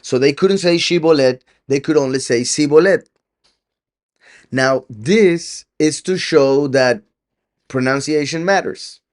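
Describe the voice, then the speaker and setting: A man's voice: fairly high pitch, a little slow. A middle-aged man teaching a Bible study in a loose, conversational way, laughing often and acting out quoted lines. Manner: conversational, laughs often, with many restarts and fillers; acts out quoted lines with a shifting voice. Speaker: preacher.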